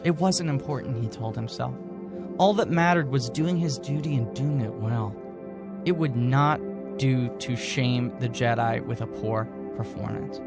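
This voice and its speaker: A man's voice: mid-range voice, a little slow, vocal fry, loud music behind the voice. A man co-hosting a podcast, giving a mock-serious dramatic reading and breaking off into casual, amused asides. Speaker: podcast co-host reading aloud.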